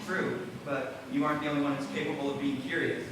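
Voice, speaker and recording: A man's voice: fairly high pitch, little pitch movement, fast talker, natural voice. Guarded teenage boy played by a man through heavy noise. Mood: probing. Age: teens or young adult. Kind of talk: school stage play acting. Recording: noisy, echoey stage from far off.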